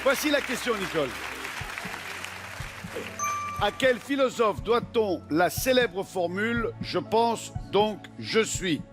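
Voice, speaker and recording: A man hosting a TV game show, teasing the guests and the contestant in quick, playful banter. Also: high-pitched, animated. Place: TV studio with audience. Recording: studio with music and crowd noise.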